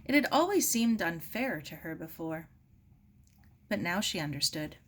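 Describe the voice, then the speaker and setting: A woman narrating a novel as an audiobook reader, expressive, deliberate and grave. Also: on the low side. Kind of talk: dramatic scripted narration of a novel.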